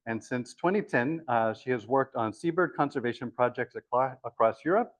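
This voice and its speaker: A man's voice: medium pitch, a little fast, no room sound. A man hosting a lecture series who introduces a guest speaker in a friendly, self-deprecating way and stumbles over some words.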